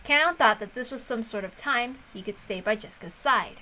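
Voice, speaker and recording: Bright-voiced woman reading a story. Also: fairly high pitch, animated, brisk. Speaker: reader of a visual novel. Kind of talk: expressive narration read aloud.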